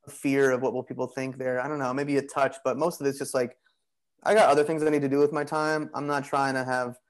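Relaxed man rambling with easy fillers. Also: medium pitch, fast. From Greek heritage. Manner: relaxed, rambling, many "you know" and "like" fillers. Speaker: podcast guest, bodywork practitioner. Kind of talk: casual conversational interview.

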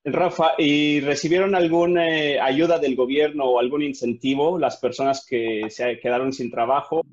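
A man's voice: a little high, steady tone, moderate pace. Mexican guy hosting a podcast, friendly, asks questions, kinda lo-fi audio. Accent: Mexican Spanish. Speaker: podcast host. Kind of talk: casual podcast chat. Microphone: video call. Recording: slightly dull lo-fi sound.

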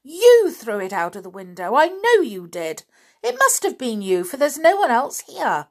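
Storybook role: a woman doing a strict aunt, scolding, loud and raised. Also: high voice, huge pitch swings, moderate pace, pitched above the performer's own voice, exaggerated voice. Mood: angry.

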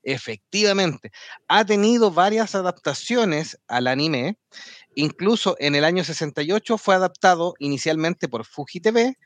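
A man's voice: sits high, lively intonation, moderate pace, dry recording. Chatty man, dry close sound. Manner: chatty, laughs often, trails off into restarts. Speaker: podcast co-host. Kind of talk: casual anime talk with co-hosts.

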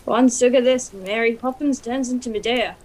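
A woman's voice: a little high, steady tone, brisk, a little mellow, lightly acted. A woman playing an eccentric old grandmother in a stage duologue, delivering dry, sardonic quips. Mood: wry.

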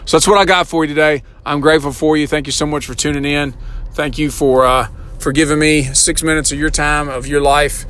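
Middle-aged male podcast host, a little hoarse, earnest motivational delivery, pitch on the higher side. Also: fairly even intonation, a little fast, a bit bright. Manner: earnest, repeats words and asks "right?". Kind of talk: solo motivational podcast talk.

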